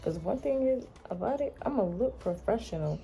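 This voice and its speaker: An American woman vlogger chatting casually in a playful, sassy, slangy way, her voice slightly hoarse. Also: medium pitch, animated, moderate pace, mellow. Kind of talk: casual chatty day-in-the-life vlog.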